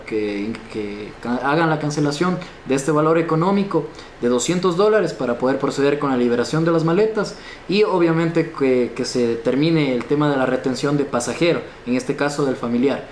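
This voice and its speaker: Formal young Ecuadorian policeman, echoey room. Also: a little high. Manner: formal, stiff official phrasing. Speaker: police chief, spokesman. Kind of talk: formal statement to local news. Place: small indoor office, seated. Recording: echoey room with background noise.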